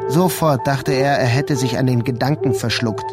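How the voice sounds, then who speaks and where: A male narrator reads scripted literary prose for a radio drama. His phrasing is sober and deliberate, at a medium pitch, with music clearly audible behind him.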